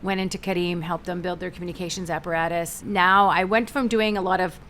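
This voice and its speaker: A middle-aged American woman speaks as an interview guest with a somewhat low voice. She tells her stories with energy and animation. A faint hum of noise sits under the recording. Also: fairly even intonation, brisk, a bit bright. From United States. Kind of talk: conversational interview.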